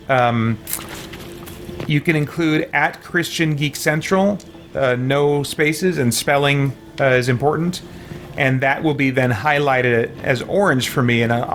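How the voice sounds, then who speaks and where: A man talking casually on a livestream as he plays a game, halting and thinking aloud. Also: fairly high pitch, fairly bright tone, a little hiss or hum. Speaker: livestream game player and host. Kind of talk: casual livestream chat while playing. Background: game music.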